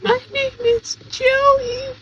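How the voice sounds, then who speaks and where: A man voicing a tiny, whiny, easily offended little man in an improvised comedy podcast, with a small, thin, squeaky voice. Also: level tone, very slow, fairly bright tone, lo-fi sound, slight background noise, full character voice. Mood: touchy. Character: tiny two-inch-tall touchy little man named Joey.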